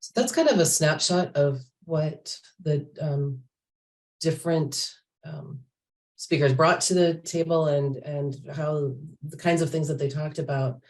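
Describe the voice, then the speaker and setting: A woman giving an informal presentation, explaining things in a halting way as she introduces material. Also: low-pitched, darkish tone, recorded from a distance, poor audio. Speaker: panel presenter and teacher.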